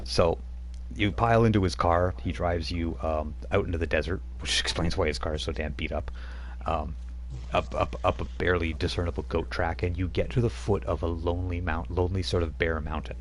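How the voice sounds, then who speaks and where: A man's voice: sits low, fairly even intonation, fairly quick, a little hiss or hum. A man running a tabletop game as game master, setting scenes in a loose, rambling way with casual asides.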